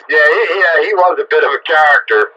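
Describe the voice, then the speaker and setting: Bright-voiced man on a hissy CB radio. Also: fairly high pitch, fairly quick, lo-fi sound. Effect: two-way radio. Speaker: amateur and CB radio operator, uploader of the show. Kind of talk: casual on-air radio chat. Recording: noisy band-limited CB radio transmission.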